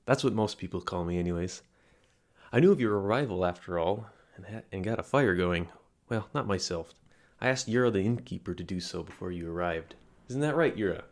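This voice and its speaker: Man playing a flirty, low-voiced fortune teller. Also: animated, brisk. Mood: playful, welcoming. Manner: flirtatious, theatrical, wheedling, swinging into soft murmurs. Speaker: palm reader character in an audio roleplay. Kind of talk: scripted intimate roleplay addressed to the listener.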